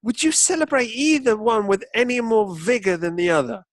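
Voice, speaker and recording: A man's voice: high-pitched, lively intonation, a little fast, no room sound, studio quality. A man co-hosting a football podcast, chatting casually with emphatic, opinionated and sweary banter.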